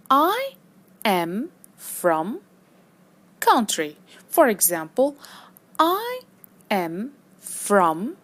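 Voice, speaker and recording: Slow, lively-voiced woman teaching English. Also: medium pitch. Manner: slow, deliberate, over-enunciated teaching delivery. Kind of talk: slow scripted lesson narration.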